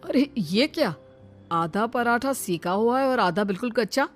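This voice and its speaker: A greedy, easily outraged man from a cartoon family story, acted by a woman. The voice sits fairly high and wheedles or scolds in exclamations. Music plays softly underneath. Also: lively intonation, measured pace. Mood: puzzled, scolding.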